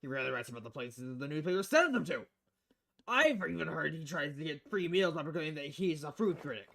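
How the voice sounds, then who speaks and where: Guy doing a crass money-loving editor man from a visual novel, loud mouthed, croaky, kinda high for a man. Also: expressive, fairly quick, exaggerated voice. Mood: scornful. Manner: brash, foul-mouthed, blustering.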